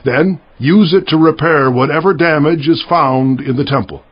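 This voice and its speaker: Man acting as a commanding, husky-voiced king. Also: fairly high pitch, moderate pace, lo-fi sound, natural voice. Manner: declamatory, imperative. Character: commanding king of Judah giving stern orders to priests. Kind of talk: dramatized scripture acting.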